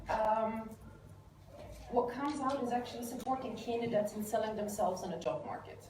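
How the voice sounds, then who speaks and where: Austrian-born woman lecturing in an echoey hall. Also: on the high side. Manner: conversational, frequent fillers, rephrases herself. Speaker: career coach giving a guest lecture. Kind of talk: live lecture with audience questions. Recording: echoey room, distant mic, background hiss.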